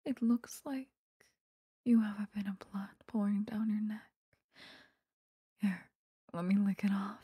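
A woman's voice: mid-range voice, dark tone, no room sound. A woman playing an obsessive, doting vampire lover in a whispered, intimate ASMR roleplay, cooing close to the microphone. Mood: tender, hungry. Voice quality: breathy, whispery. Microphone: close microphone, dry.

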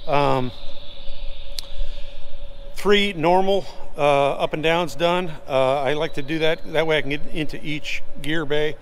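A man in his sixties, an aircraft mechanic, explaining a landing gear check in a halting way, recorded on a phone at arm's length in a large hangar. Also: a little high, fairly even intonation, slight background noise. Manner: halting, many uhs and ums. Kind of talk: hands-on shop talk. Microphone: phone held at arm's length.

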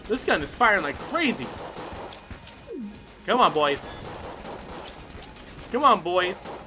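A man giving casual, excited gameplay commentary as host, exclaiming and swearing as he talks to himself and the game. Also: high-pitched, slow. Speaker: gaming video host. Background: game music.

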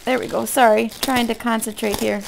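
A woman with a hoarse voice from being sick, chatting casually in a folksy, rambling way as a craft vlogger. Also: on the high side, little pitch movement, fairly quick, noisy background. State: hoarse from illness with a cough. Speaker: craft vlog host. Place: indoor room at a table.

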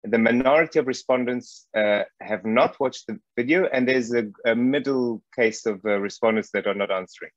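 A man's voice: mid-range voice, normal speed, hardly any pauses, dry recording. A man in his thirties from South Africa giving an informal online talk, hesitant with wry humor. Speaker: guest lecturer. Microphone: wireless earbuds on a video call. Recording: muffled video call audio.

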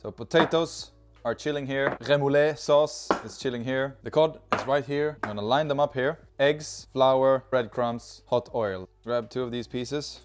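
A man's voice: mid-range voice, measured pace, over music. A man in his thirties with a Swedish accent, chatting cheerfully as he cooks in a home kitchen on a lapel mic. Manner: chatty, cheerful, talks while working with food. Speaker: cooking video host. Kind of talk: casual cooking demonstration. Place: home kitchen with open garden door.

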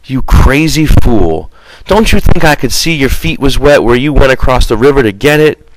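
A man voicing a gruff, short-tempered itinerant farm worker in an audiobook, brusque and commanding. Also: medium pitch, expressive, moderate pace, dry recording, pitched above the performer's own voice, close to an everyday voice, poor audio. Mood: exasperated.

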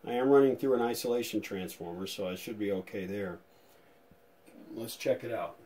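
A man with a fairly low voice narrates a hands-on repair demonstration. He talks casually, as a hobby restorer would, thinking aloud as he inspects things. His delivery is informal and a little tentative. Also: normal speed, mellow. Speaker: vintage radio restorer, video host.

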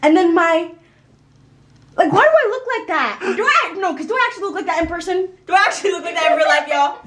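A teenage girl filming a casual vlog with friends, excitable and laughing often. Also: very high-pitched, fast talker, very noisy. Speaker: vlogger.

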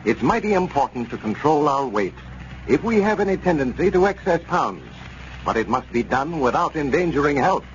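An American man announcing in vintage radio style, reading sponsor pitches and story recaps in a polished, emphatic way. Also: sing-song, normal speed. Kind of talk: 1930s-40s radio announcing, scripted sponsor pitch and narration. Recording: muffled, noisy old radio recording.

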